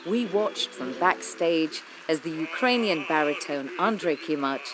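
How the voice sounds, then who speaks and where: A woman presenting a radio arts programme, reading scripted narration in a measured, expressive way. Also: sits low, noisy background.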